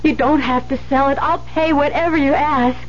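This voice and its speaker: An actress playing a frightened, earnest young former nun in a 1970s radio drama, halting and stammering as she pleads. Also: high-pitched, steady tone, close to an everyday voice. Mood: desperate, pleading. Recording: muffled, noisy 1970s radio recording.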